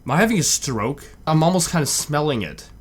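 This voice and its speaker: Male reaction host, slightly high and lively pitch, casual rambling commentary. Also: a little fast, breathy edge. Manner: rambling, with frequent "like".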